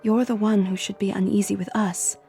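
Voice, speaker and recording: A woman acting a gentle alien woman in a radio drama. Her voice is very mellow and mid-pitched, careful and earnest with an uneasy undertone, over faint music. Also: little pitch movement, a little fast, close to an everyday voice. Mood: gentle, guarded.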